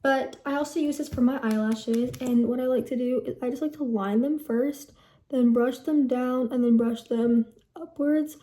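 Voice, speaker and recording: A woman's voice: high-pitched, fairly even intonation, normal speed, warm tone, reverberant. A young woman in her teens giving a casual skincare routine vlog, chatty and rambling, often correcting herself. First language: Telugu heritage speaker, English first language. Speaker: vlogger.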